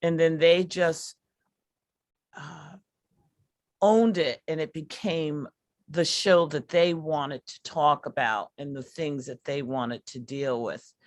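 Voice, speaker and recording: A woman reflecting as a workshop artist on a video call, speaking in emphatic, halting phrases. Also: deep voice, no room sound, clean studio sound. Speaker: workshop artist leading the project. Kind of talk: reflective discussion.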